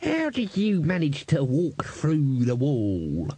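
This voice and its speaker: A cantankerous old manservant, suspicious and quarrelsome, as a male reader puts him on. He drawls his complaints slowly, his voice climbing high and strained with wide swings in pitch. The sound is dry and close, with a husky edge. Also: huge pitch swings, mellow, dry recording, exaggerated voice. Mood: grumpy. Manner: bawling, quarrelsome, slow drawn-out.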